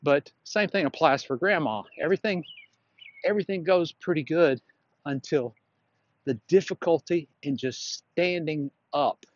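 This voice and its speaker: A man's voice: fairly high pitch, unhurried, muffled recording. A man talking casually on a solo podcast as a fitness instructor, explaining things in a plainspoken, frank manner.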